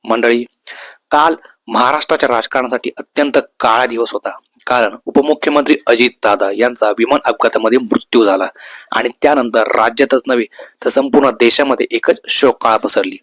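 A man's voice: medium pitch, brisk, a bit bright, no echo. Earnest Maharashtrian man presenting, dry close sound. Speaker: host of a news video channel. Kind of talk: semi-scripted news commentary, tribute to camera.

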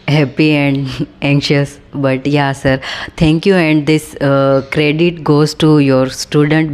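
A young woman with an Indian English accent asks her questions slowly and hesitantly, in a very low, flat voice. Her tone is smooth and clear. She sits in a small room, heard through a camera set across from her. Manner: hesitant, with many fillers. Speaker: interviewer. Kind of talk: casual interview. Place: small indoor room, seated on a sofa.

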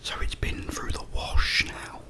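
A middle-aged man with a British accent, whispering a rambling, chatty story as an ASMR creator in a dim room, close to the mic. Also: measured pace. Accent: British English. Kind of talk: whispered ASMR chat while eating. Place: dim indoor room at a table.

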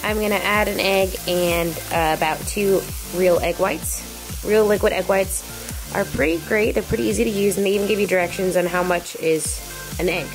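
A woman's voice: medium pitch, moderate pace, over music, low-quality recording. A young woman in her twenties giving chatty meal-prep tips in her home kitchen, speaking into a handheld camera. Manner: bubbly, teasing, explains in a chatty way. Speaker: fitness vlogger. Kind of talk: casual vlog chat and meal-prep tips.

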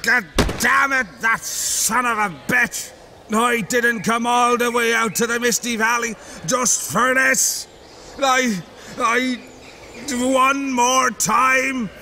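Shrill, hot-tempered village oaf. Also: male voice, steady tone, slow pace, gravelly, a little hiss or hum, exaggerated voice. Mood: frustrated, tearful. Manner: shouting, whining, sobbing outbursts. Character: crude, boastful, cowardly village father, hot-tempered. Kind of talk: dramatic comic dub acting. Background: music.

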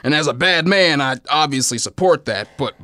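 A man's voice: fairly high pitch, expressive, moderate pace, sharp tone. A man voicing a blustering, dim western villain who slings insults with awkward self-awareness in a comedic role-play. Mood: musing.

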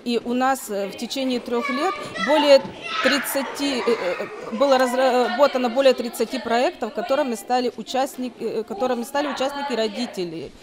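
A middle-aged woman giving an interview explanation as kindergarten staff, halting and often correcting herself. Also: a little high. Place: large kindergarten gym, indoors. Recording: children and bustle in busy hall. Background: group of children active in the hall.

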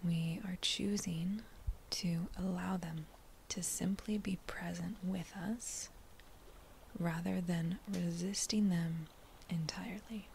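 A woman leading a guided meditation in a gentle, breathy near-whisper, speaking in short unhurried phrases with pauses between them. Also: lowish voice, steady tone, a little hiss or hum. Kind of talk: slow guided meditation narration.

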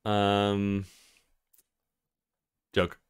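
A man hosting a let's play, reading game dialogue aloud and reacting to it in an animated way. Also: lowish voice, very slow, clear, resonant tone, dry recording, studio quality.

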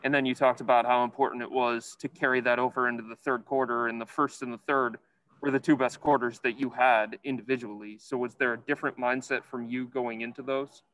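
A man working as a sports reporter, asking a player measured, detailed questions at a press conference. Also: mid-range voice, level tone, fairly bright tone, poor audio.

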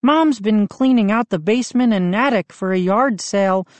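A woman voicing an excitable boy who is the narrator's friend, loud and agitated. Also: mid-range voice, moderate pace, no echo, voice pushed up, natural voice.

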